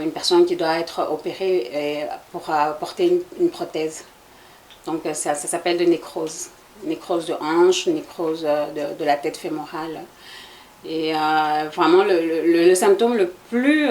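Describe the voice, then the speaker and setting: Hesitant woman from Congo, flat tone. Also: deep voice, measured pace, slight background noise. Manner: hesitant, many fillers, explanatory. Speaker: guest, association president. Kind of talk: interview answers.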